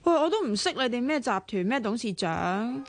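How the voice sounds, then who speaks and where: A troubled young woman in a Hong Kong Cantonese radio drama. Her voice is high and sounds worried and guarded. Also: sing-song, fairly quick, faint music behind. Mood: wary, puzzled.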